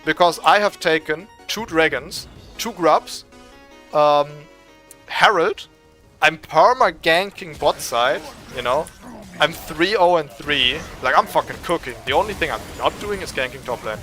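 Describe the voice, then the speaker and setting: A young man with a German accent, giving excitable, swearing, trash-talking gameplay commentary. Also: fairly high pitch, measured pace, soft background music. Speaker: gaming streamer.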